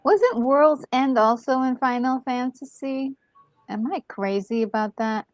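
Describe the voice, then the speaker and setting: A woman's voice: sits high, a little slow, dry recording, clean studio sound. A woman co-hosting a gaming video, chatting casually as she reacts to the story. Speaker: gaming co-host. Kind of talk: casual chat, reacting to gameplay.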